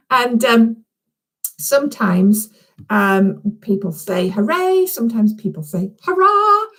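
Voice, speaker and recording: A woman's voice: on the high side, lively intonation, takes it slowly, a bit bright. A woman who is a children's author, chatting informally and encouragingly to young schoolchildren from a room at home, often checking in with little questions. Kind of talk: chatty, informal live video address to schoolchildren.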